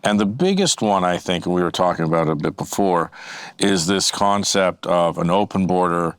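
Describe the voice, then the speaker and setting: A man's voice: low-pitched, expressive, normal speed, smooth, no room sound. A middle-aged man interviewing a guest, blunt and conversational. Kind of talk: casual interview.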